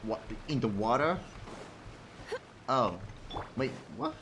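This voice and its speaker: A male game streamer reacts casually as he plays. His mid-pitched voice comes in muttered, sweary asides, with faint noise and clicks in the recording. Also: very animated, slow, a bit bright. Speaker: streamer playing a story game. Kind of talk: casual live reaction commentary.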